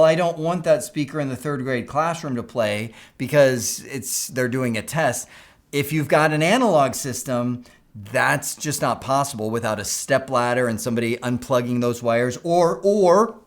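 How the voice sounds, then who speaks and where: A man's voice: medium pitch, normal speed. A man instructing in an informal, conversational technical explainer style, often checking in with the listener as he goes. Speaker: instructor explaining school PA systems.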